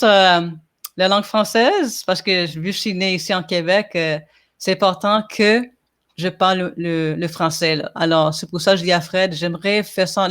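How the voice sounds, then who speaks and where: A woman's voice: fairly low pitch, clean tone. Hesitant woman guest, English native speaker. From Montreal, Quebec. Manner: hesitant, many fillers and restarts. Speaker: podcast guest. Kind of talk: casual interview.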